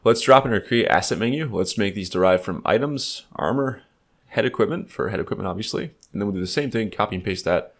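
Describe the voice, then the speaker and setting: A man's voice: fairly low pitch, fast talker. A man with a stuffed-up, slightly nasal voice, explaining code casually in a tutorial, thinking aloud with lots of fillers. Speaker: game development tutor. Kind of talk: screen-recorded coding tutorial.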